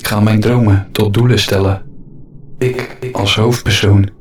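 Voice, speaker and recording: A man delivering a reflective spoken-word monologue in short, deliberate, repeated phrases for an audio-play podcast. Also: on the low side, fairly even intonation. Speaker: podcast host. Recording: echoey room sound, faint background noise.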